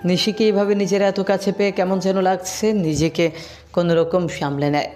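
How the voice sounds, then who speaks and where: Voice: medium pitch, steady tone, moderate pace, darkish tone. A narrator reading a scripted romantic story as the storyteller. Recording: background music under the voice.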